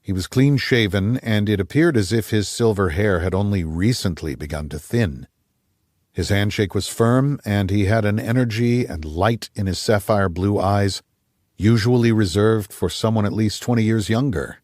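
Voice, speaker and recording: A man narrates a thriller audiobook. His voice sits fairly low, and the sound is dry, with little room to it. The delivery is scripted storytelling. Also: moderate pace, darkish tone, no echo.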